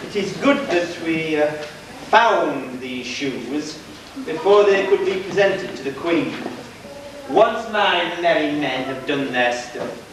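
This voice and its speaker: A scheming, pompous gang boss in an amateur pantomime. He draws out his grand lines slowly in a high voice, far from the mic in an echoey hall. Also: expressive. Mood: gloating. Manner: theatrical, drawn-out, grandiose. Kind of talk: amateur pantomime stage acting. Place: church pantomime stage. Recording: echoey hall, distant mic, hissy old video.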